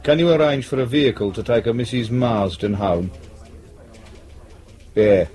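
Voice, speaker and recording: An actor playing a brisk, world-weary British detective sergeant in a radio drama, reassuring but patronising and colloquial. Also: male voice, mid-range voice, a little mellow, close to an everyday voice. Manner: matter-of-fact, patronising, colloquial.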